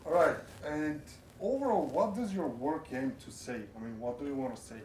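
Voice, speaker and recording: A man's voice: a little high, normal speed, a little mellow, distant mic, slight background noise. A man interviewing a musician, asking short questions with hesitant restarts. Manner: brief reactions, hesitant restarts. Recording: echoey, from off-mic.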